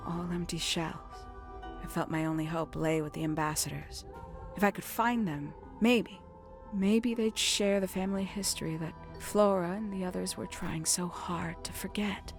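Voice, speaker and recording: Over background music comes a low-pitched woman's voice performed by a game actress, secretive and brooding. She unspools her tale deliberately, with theatrical pauses, like a mystery game character guarding a family secret. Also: steady tone, close to an everyday voice. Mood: solemn, wistful. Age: adult, older than nineteen. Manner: measured, deliberate, theatrical storytelling with dramatic pauses. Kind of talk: video game voice acting, dramatic monologue. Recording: background music under the dialogue.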